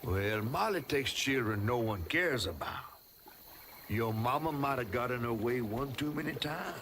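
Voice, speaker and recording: An actor voicing a wry, folksy giant catfish storyteller with a Southern US accent in a video game. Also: male voice, mid-range voice, expressive, measured pace, fairly bright tone, slight background noise. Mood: wry, knowing. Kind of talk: video game character dialogue and storybook narration.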